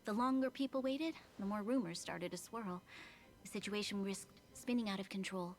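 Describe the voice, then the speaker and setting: A woman voicing a dignified, earnest young female leader in a video game, speaking in a formal, measured, solemn way. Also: mid-range voice, darkish tone, a little hiss or hum, close to an everyday voice. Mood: composed.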